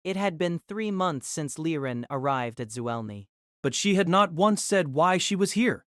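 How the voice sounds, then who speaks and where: Woman narrating, expressive range, dry sound. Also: sits low, a little slow, dry recording. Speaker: narrator and reader. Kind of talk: scripted audiobook narration of a light novel.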